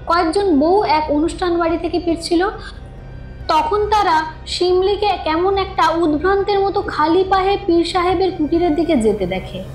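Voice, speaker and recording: A woman's voice: extremely high pitch, moderate pace. A woman reading a scripted suspense story in a dramatic storytelling manner. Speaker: narrator of a horror audio story. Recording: echoing studio voice with music underneath. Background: background music.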